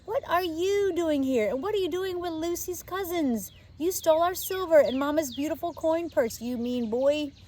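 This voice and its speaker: A woman voicing a prim, indignant little Victorian girl in a storybook, squeaky and scolding. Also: normal speed, hardly any pauses, darkish tone, recorded from a distance, slight background noise.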